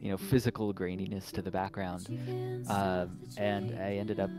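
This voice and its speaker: A man's voice: low-pitched, flat delivery, moderate pace. A man talking casually as a game developer in an online interview, often chuckling as he goes. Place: online voice call. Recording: music playing under voice. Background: plucked guitar music.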